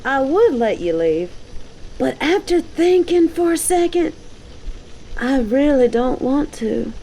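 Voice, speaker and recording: A woman's voice: high voice, expressive, slow pace, dark tone, a little hiss or hum, exaggerated voice, low-quality recording. A woman playing a cocky, dominant bounty hunter in an audio roleplay, with a mocking, taunting, slangy delivery. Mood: smugly teasing. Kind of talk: scripted roleplay audio drama.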